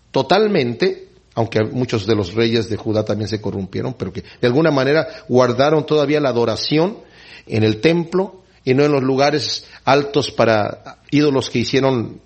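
A man preaching a sermon, explaining scripture and briefly acting out the people he quotes. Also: breathy edge. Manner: explanatory, acts out quoted speakers with pitch swings.

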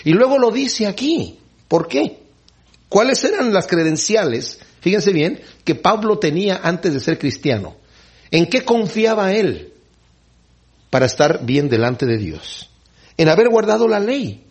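Guy preaching, slightly high husky voice, explains bible stuff, does the quotes in voices. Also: sing-song, a little slow. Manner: explanatory, acts out quoted speakers with pitch swings. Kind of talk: sermon.

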